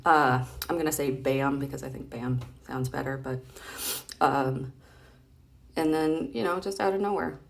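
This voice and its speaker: Woman, low pitch, casual read-aloud, chatty, playful, hesitant, thinking out loud. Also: a little slow, creaky. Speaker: vlogger reading comic strips aloud.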